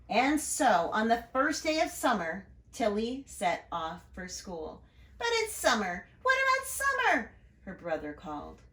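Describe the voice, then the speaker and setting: A woman teacher reading a children's storybook aloud with expressive, deliberate emphasis and chatty asides. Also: medium pitch, roomy sound.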